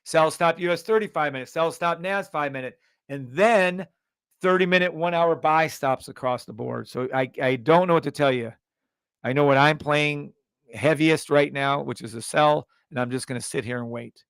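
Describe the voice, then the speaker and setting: A middle-aged man runs a live trading stream, barking out orders in short bursts and swearing freely. His voice is gravelly but smooth and resonant. The sound is dry and close, with no room echo. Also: fairly high pitch, a bit bright. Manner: brash, profane, calls out orders in bursts. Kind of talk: live trading commentary with viewers.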